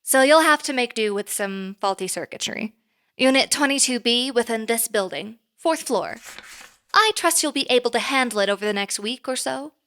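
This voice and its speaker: A woman playing a poised, reserved boss in an audio drama, speaking formally and in a measured way. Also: a little high, lively intonation, pushed and bright. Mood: cool, businesslike.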